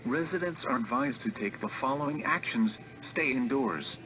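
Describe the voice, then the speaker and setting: A man playing an emergency alert announcer, giving a scripted warning in a slow, formal, even official delivery. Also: mid-range voice, low-quality recording. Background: music playing under the voice.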